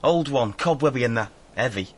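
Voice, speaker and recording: A man's voice: mid-range voice, animated, fairly quick, noisy background, close to an everyday voice. A man acting in a radio drama as a blunt working man, speaking in clipped, casual phrases. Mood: offhand, blunt. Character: offhand, blunt male working man who hauls cases.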